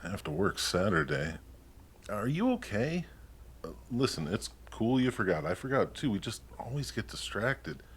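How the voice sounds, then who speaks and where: A man's voice: fairly low pitch, big pitch swings, moderate pace, slightly rough. A man playing an easygoing, supportive young partner in a naturalistic play. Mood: eager, reassuring. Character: easygoing, eager, supportive male partner, dreamy. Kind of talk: naturalistic stage acting in a livestreamed play.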